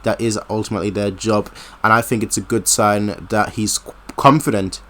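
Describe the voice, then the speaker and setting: A man's voice: lowish voice, steady tone, moderate pace, a bit bright. A man hosting a casual football interview, hesitant and wordy as he rephrases his questions. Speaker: host, interviewer.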